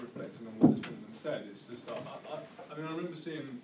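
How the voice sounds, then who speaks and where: A man's voice: fairly high pitch, fast talker, background noise. A man speaking up in a class discussion, hesitant and deferential as he puts his point. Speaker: seminar participant. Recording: echoey, distant mic, people behind.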